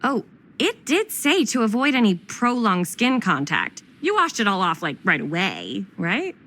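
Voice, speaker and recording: An actress playing a plucky young amateur filmmaker in a video game drama, talking in a casual, playful, upbeat way. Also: female voice, medium pitch, huge pitch swings, a little slow, sharp tone, exaggerated voice. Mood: concerned. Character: plucky, joking teenage girl filmmaker.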